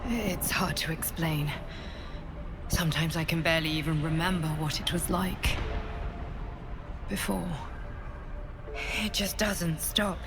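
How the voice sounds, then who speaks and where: An actress playing a weary but gentle female guardian from dreams in a video game, speaking in a measured, earnest way. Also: lowish voice. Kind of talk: video game cutscene acting.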